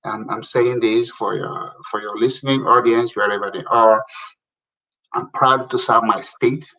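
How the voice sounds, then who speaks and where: A middle-aged man from Africa, a guest telling lively stories about public service in a casual talk, laughing often. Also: medium pitch, measured pace, far from the mic, rough recording. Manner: animated storyteller, laughs often. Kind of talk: casual radio conversation.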